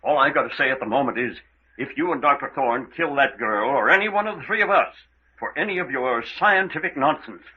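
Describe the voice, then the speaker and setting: An actor playing a stern, blunt adventurer hero in an old-time radio mystery, with a firm, clipped, formal delivery and moral indignation. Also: male voice, mid-range voice, expressive, fairly quick, slight background noise, natural voice. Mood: indignant. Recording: dull, lo-fi old radio recording.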